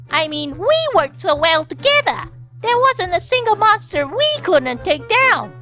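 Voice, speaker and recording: A woman's voice: very high-pitched, a bit bright, heavily acted. A woman voicing a cheerful, blithely callous young monster-hunt handler in a perky sing-song, in a dramatized fan fiction reading.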